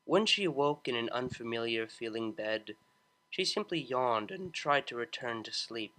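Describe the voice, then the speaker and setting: Bright, expressive man reading a story. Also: medium pitch, normal speed, poor audio. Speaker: audiobook narrator. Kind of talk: expressive scripted narration of fan fiction.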